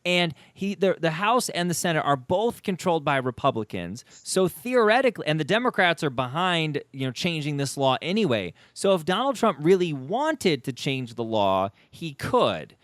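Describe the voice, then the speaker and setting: A man's voice: fairly high pitch, sing-song, normal speed, few pauses, fairly bright tone, no room sound, studio quality. A man from the United States hosting a conversational interview, speaking in an opinionated, emphatic way. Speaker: podcast host.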